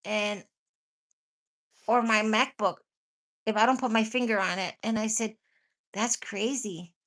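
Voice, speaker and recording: Chatty woman with a bright voice. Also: mid-range voice, fairly even intonation, measured pace. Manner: rambling, laughs often, swears casually. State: stuffy nose and cough. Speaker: livestream host chatting with viewers. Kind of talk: casual live chat.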